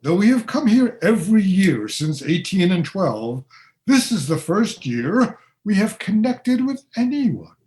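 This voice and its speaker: A man playing a courteous, puzzled gentleman from 1820. He talks slowly in formal, old-fashioned phrases, with a swooping pitch, as in a radio play. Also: big pitch swings.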